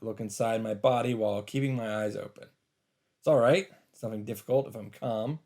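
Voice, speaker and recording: A man's voice: lowish voice, brisk, a little mellow. A man reading a visual novel aloud with dramatic delivery as a let's player, with faint game ambience behind him.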